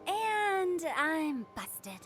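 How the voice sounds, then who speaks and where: High-voiced cheeky young schemer. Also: female voice, sing-song, drawn-out words, a bit bright, faint music behind, exaggerated voice. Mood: mock-grudging. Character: cheeky, scheming young female funeral parlor director. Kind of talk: video game voice acting.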